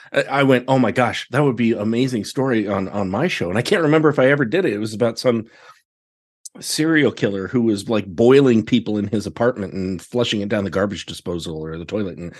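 An American man chatting casually as a podcast guest, telling stories with exclamations and quoting himself. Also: mid-range voice. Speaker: podcast guest, theatre director. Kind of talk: casual chat.

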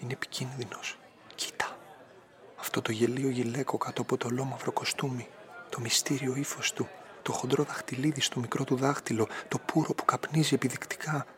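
A man's voice: medium pitch, level tone, a bit bright, natural voice. Actor as a hesitant, lovesick young man. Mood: worried, insistent. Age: young adult. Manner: hesitant, earnest, insistent. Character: pale, shy, lovesick young man of twenty-five. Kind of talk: Greek radio drama acting.

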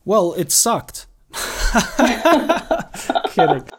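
A man's voice: high voice, lively intonation, drawn-out words, slightly rough. A man in his thirties from Massachusetts, a podcast guest talking casually about his upbringing, joking and filling his speech with "uh" and "you know". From Massachusetts, USA. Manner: chatty, joking, many fillers and restarts. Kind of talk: casual interview talk.

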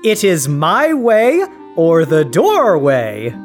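A man's voice: a little high, huge pitch swings, takes it slowly, soft background music, heavily acted. A man playing a childlike alien posing as a human, cheerfully literal and stiffly eager, in a dramatised fan fiction reading.

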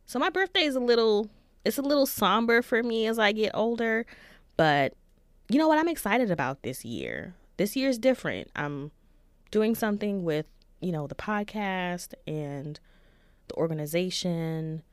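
A woman in her thirties co-hosting a podcast in casual chat, soft-spoken and reflective. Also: mid-range voice, big pitch swings, moderate pace, clean tone, dry recording, clean studio sound. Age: 30s. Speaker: podcast co-host.

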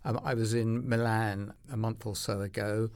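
A man's voice: lowish voice, little pitch movement, moderate pace, darkish tone. Assured man explaining. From United Kingdom. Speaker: industry expert guest. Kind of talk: business conversation.

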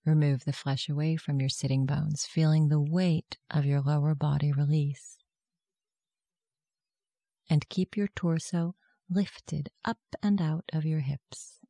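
Meditation guide, medium pitch, warm relaxed timbre, gentle measured delivery, scripted narration. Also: no room sound.

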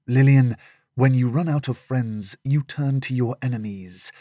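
A man reading a novel aloud, voicing a wise older mentor who speaks slowly and deliberately. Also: mid-range voice, mellow, no room sound, clean studio sound, close to an everyday voice. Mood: counseling. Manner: slow, solemn and deliberate.

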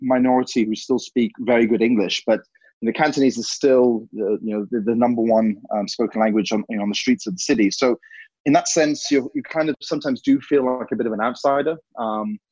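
A man's voice: mid-range voice, fairly even intonation, a little fast, a little mellow, breathy edge, no echo. A man in his thirties from Hong Kong, answering interview questions in a rambling way, full of "you know". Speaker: interview guest. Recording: dull lo-fi sound.

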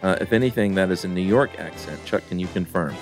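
Middle-aged guy w a deep voice rambling thru listener mail on a podcast, easygoing, faint music under it. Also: fast talker. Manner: rambling, relaxed, lots of fillers. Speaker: podcast co-host. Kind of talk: casual chat, reading listener mail.

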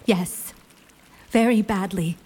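A woman's voice: medium pitch, slow, darkish tone, breathy edge. An actress playing a polite, guarded young woman negotiating as a captive, careful and formal in an audio drama. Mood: pained.

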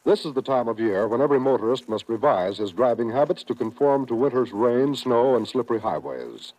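An American man working as a 1950s radio announcer, reading a scripted message in a measured, formal, emphatic way. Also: mid-range voice, warm tone. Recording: muffled old radio broadcast.

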